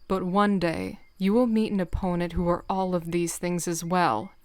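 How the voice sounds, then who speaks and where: A woman playing a stern, commanding warrior cat leader and mentor in an audiobook drama, speaking firmly and with deliberate phrasing. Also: fairly low pitch, steady tone, a little mellow, no room sound, close to an everyday voice. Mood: intense, measured. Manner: firm, measured, deliberate. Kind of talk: fan-dub audiobook drama acting.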